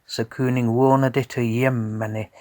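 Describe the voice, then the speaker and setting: A man narrating a scripted tale as a storyteller in a measured, deliberate way. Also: medium pitch, flat delivery.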